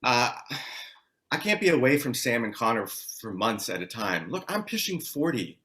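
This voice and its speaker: Man acting a bright-voiced rig worker, pushing forty. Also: mid-range voice, big pitch swings, moderate pace. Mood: apologetic. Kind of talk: table read of a TV drama script.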